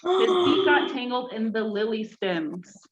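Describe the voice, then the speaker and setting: A woman reading aloud to children in an expressive, engaging way. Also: fairly high pitch, a little slow. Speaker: storytime reader for children. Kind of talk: read-aloud children's story, then casual chat with children. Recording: dull lo-fi sound, clear background noise.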